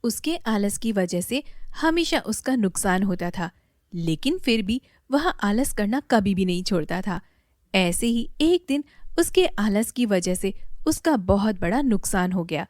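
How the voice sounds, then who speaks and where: A woman narrating a children's moral story in a plain, steady way. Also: mid-range voice, unhurried, no room sound, studio quality.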